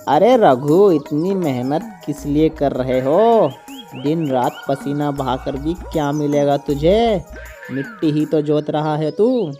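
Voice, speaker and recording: A woman's voice: deep voice, takes it slowly, dark tone. A woman doing a lazy, greedy village lad. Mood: mocking. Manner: mocking, gleeful. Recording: cartoon dub with music behind.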